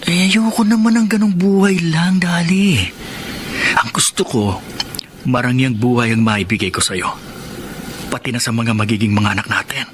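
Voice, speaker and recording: A man's voice: mid-range voice, normal speed, long pauses, fairly bright tone, slight background noise, close to an everyday voice. An actor playing a callous young adopted son who persuasively coaxes his sweetheart into a murder plot, in Filipino radio drama style. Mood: pressuring.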